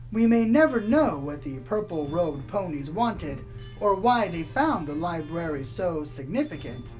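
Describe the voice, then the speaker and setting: A woman playing a solemn, ominous pony radio host in a scripted radio drama, with a measured, theatrical delivery. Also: medium pitch, darkish tone, low-quality recording. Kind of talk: scripted radio drama narration, spoof community radio.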